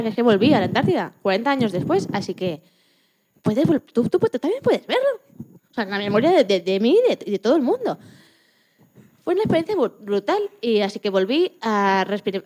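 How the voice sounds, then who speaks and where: A woman from playing a lively, joking retired Antarctic scientist in her eighties in a radio interview, often restarting her sentences. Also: on the high side. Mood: animated, reflective. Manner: chatty, joking, halting with restarts. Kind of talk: scripted mock radio interview.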